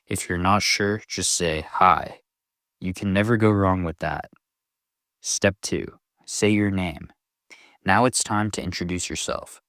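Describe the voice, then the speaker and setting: A man narrating a scripted beginner English lesson, speaking slowly and clearly for learners. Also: low voice, dry recording, studio quality. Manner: slow, even, clearly spoken for learners. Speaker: English teacher.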